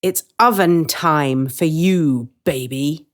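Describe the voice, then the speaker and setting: A woman's voice: low-pitched, slow pace. A woman voicing a chatty, sardonic witch in a storybook, breezy and matter-of-fact with mock-polite menace. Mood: gleefully menacing.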